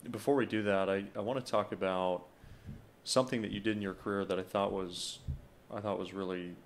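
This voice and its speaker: A man's voice: lowish voice, fairly even intonation, fairly quick. A man in his thirties hosting a podcast, asking interview questions in a friendly, eager way with frequent word repeats, at a desk mic in a small studio. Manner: friendly, enthusiastic, repeats words. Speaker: podcast host. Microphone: desk podcast microphone.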